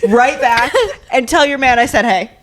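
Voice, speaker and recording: A woman's voice: on the high side, normal speed. A young woman co-hosting a podcast, chatting casually and comedically with family, with playful, sweary teasing. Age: young adult. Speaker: podcast co-host.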